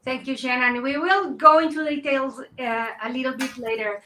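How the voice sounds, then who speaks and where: Bright high-voiced woman moderating a panel. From Colombia. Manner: gracious, slightly halting with fillers. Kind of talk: hosting an online panel.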